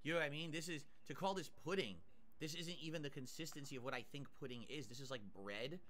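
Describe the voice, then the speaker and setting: A man with a bright, forceful voice pitched a little high chats his way through a livestream. He talks casually, describing food bite by bite, reading viewer tips aloud and thanking each supporter. He sounds a little nasal from nasal drip. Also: fast. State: mouth burned, nasal drip. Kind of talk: casual stream chat.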